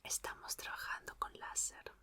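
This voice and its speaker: Young female scientist and android mechanic played by a woman, soothing ASMR roleplay, breathy bright whisper, unhurried, repeats words softly. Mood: gentle, reassuring.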